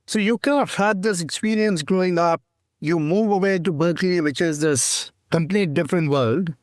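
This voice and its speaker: Brief: male interviewer, recaps and prompts, fairly high voice, dry close sound. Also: normal speed, no echo. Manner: recaps, then asks open prompts. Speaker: podcast host. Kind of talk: interview questions.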